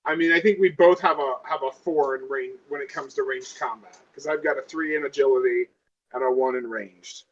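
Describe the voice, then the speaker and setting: A man's voice: mid-range voice, steady tone, brisk, dull audio. A man playing a tabletop roleplaying game, chatting casually and thinking aloud in a hesitant way.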